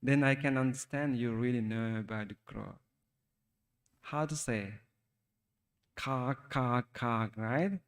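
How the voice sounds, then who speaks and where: A man with a Sri Lankan accent, a Buddhist monk giving a talk to young listeners, speaking haltingly and asking them questions. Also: medium pitch, long reverb tail. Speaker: Buddhist monk teaching youth. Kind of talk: Dhamma talk for children.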